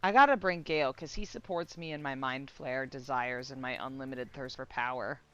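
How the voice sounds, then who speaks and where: A woman streamer giving casual, giggly, excitable and profane live commentary on a game. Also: extremely low pitch, moderate pace, clean tone, dry recording, lo-fi sound.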